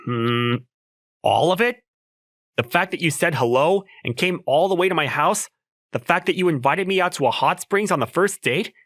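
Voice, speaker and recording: A man's voice: fairly high pitch, expressive, moderate pace, a bit bright, no echo, clean studio sound. A young man playing a nervous, teasing student in love in a manga dub, talking in a conversational, slightly awkward way. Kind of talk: manga dub acting with first-person narration.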